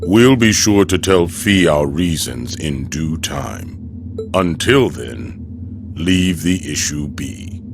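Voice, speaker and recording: An actor playing a stern, protective male mercenary in a video game, speaking formally and deliberately with dry menace. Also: very deep voice, animated, heavily acted. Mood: stern, suspicious. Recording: music behind the voice.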